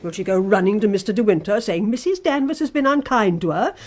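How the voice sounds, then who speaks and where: An actress playing an obsessive, menacing housekeeper in a radio drama, sneering and insistently coaxing. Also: female voice, mid-range voice, lively intonation, quick pace, a little mellow, natural voice. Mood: scornful, bitter. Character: obsessive, menacing housekeeper, devoted to her dead mistress.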